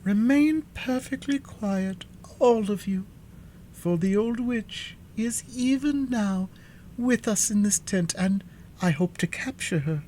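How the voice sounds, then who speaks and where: A man voicing a calm, commanding sorceress with a soft, sweet, deliberate voice in a storybook reading. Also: sits high, slight background noise, voice pushed up, low-quality recording. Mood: calm, hushed command.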